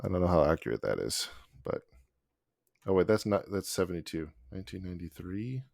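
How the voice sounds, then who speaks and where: A man hosting a casual podcast chat in a relaxed way. He chuckles often and reads figures off his notes. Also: sits low, fairly quick, creaky, dry recording, studio quality. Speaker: podcast host.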